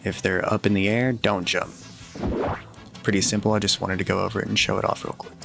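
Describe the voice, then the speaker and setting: A man's voice: lowish voice, level tone, brisk, vocal fry, over music. A man giving casual video game walkthrough commentary and tips in a matter-of-fact, advisory way.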